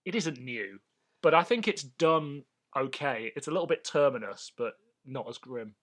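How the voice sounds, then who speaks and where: A man's voice: mid-range voice, animated, moderate pace. A man co-hosting a podcast, talking through a review in a casual, jokey, sweary way. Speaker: podcast host. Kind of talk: casual chat, review discussion.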